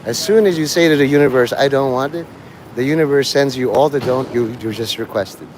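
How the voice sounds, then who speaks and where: A man's voice: mid-range voice, brisk, darkish tone. A man giving a casual, rambling monologue to listeners, digressive and blunt, with profanity. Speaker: live streamer. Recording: clear outdoor traffic and vehicle noise.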